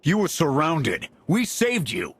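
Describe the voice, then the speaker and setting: An actor playing a stern, blunt, dutiful military officer in a video game, with a rough husky voice. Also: male voice, on the high side, wildly swooping pitch, takes it slowly, a bit bright, dry recording, full character voice. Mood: indignant.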